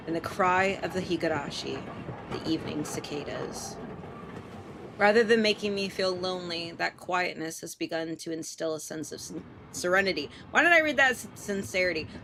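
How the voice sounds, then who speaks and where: A woman with an American accent on a live game stream, reading a visual novel aloud and commenting casually, swearing and tripping over words. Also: lowish voice. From Portland, United States. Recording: clearly noisy background.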